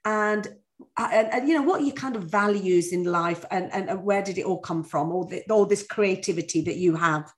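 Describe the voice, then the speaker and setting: A woman's voice: medium pitch, moderate pace. A woman hosting a podcast interview, chatty and reassuring with her guest. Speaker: podcast host.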